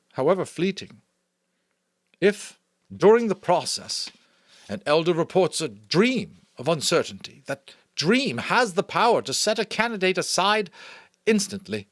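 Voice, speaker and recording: Solemn female leader played by a man, raised pitch, sweeping intonation, firm formal oratory for a dystopian audiobook. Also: fairly bright tone, no echo, clean studio sound, full character voice. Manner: firm, declamatory, deliberate. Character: solemn commanding female chief elder addressing her community. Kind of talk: dramatic audiobook character voice.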